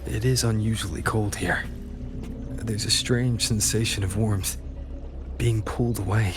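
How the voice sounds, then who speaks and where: A man voicing a calm, steady young male adventurer in a video game, composed and matter-of-fact. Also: on the low side, measured pace, music in the background, lightly acted. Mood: pensive.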